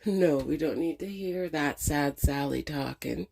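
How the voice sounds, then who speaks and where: A middle-aged woman with a cold and a congested voice, hosting a casual reflective monologue, talking slowly with many hesitations. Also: low-pitched, creaky, darkish tone, husky. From Canada. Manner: slow, hesitant, many ums and pauses. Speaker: channel host.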